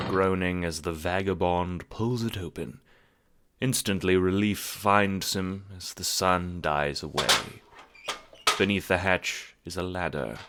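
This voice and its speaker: A male storyteller delivers scripted drama narration with theatrical deliberation. His gravelly voice has a forceful edge and wide, animated intonation, and it rings in a long hall-like reverb. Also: low-pitched, background noise.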